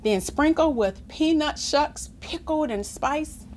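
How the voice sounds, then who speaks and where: A woman reading a children's picture book aloud expressively, engaging listeners with questions and asides in an animated manner. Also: high-pitched, slow pace, slight background noise. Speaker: storytime reader.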